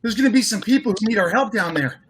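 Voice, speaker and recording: An actor playing an eager, urgent young male superhero speedster in an animated script reading. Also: high voice, fast talker, a crowd in the background. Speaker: voice actor at a cast table read.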